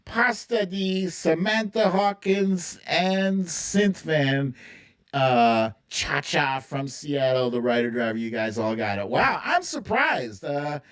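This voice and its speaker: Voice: mid-range voice, animated, takes it slowly. A livestream host chatting casually and playfully with viewers, rambling and thinking aloud while running an informal quiz. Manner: playful, breaks into humming and singing theme tunes. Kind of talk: casual live chat with a TV theme quiz.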